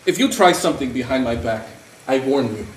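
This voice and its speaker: A man's voice: medium pitch, expressive, brisk, lightly acted. A man playing a wary, blunt, swaggering prisoner in a stage play, with an expansive, teasing manner. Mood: suspicious, menacing. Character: wary, blunt, swaggering Italian male prisoner, opera lover. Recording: roomy stage recording, faint noise.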